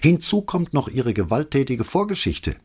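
A man's voice: mid-range voice, very animated, moderate pace, darkish tone, slightly rough, pitched above the performer's own voice. A man voicing a detached male police lieutenant who speaks casually.

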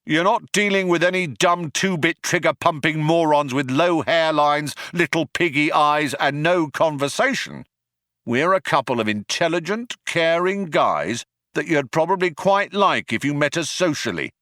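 Man acting out shouting, aggrieved space cop. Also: on the high side, animated, moderate pace, no echo, clean studio sound, voice pushed up. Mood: aggrieved bluster. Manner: earnest, self-justifying threats bellowed at a distance. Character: male alien space cop, shouting, aggrieved and self-consciously sensitive.

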